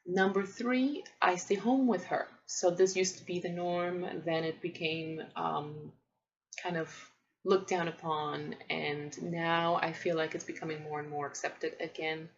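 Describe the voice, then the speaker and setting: A woman in her thirties, a native Polish speaker, talks to camera in a lowish voice with a gravelly edge. She speaks thoughtfully, with frequent ums and restarts, on a dull lo-fi home recording. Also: fairly even intonation, measured pace. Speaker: parenting vlogger. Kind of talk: casual vlog talk to camera.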